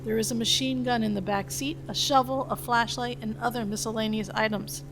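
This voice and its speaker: A woman's voice: on the high side, fairly even intonation, brisk, background noise. A woman giving scripted narration, reading out stage directions between lines of dialogue.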